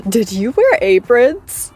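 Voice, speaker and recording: A woman's voice: fairly high pitch, very animated, slow, darkish tone, husky, faint music behind, full character voice. An actress playing a bubbly, teasing after-show host in a scripted audio drama, gushing and playful with her guest.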